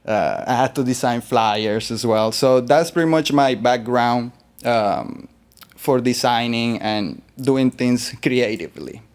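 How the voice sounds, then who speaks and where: Smooth-voiced man from Colombia. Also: mid-range voice, slow pace. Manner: relaxed, rambling with fillers. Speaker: guest artist. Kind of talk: interview conversation.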